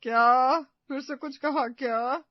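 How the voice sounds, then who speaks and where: A woman's voice: high-pitched, fairly even intonation, slow, dry recording, lo-fi sound. A woman playing a bossy, theatrical middle-aged mother-in-law in a cartoon story, nagging with sarcasm and melodrama. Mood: irritated, sarcastic. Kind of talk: animated moral-story cartoon acting.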